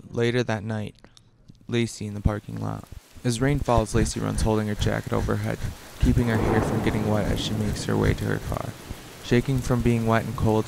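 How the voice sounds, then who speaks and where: A man's voice: lowish voice, creaky. A man reading a scripted radio play as narrator in an amateur way, with noisy sound effects behind him.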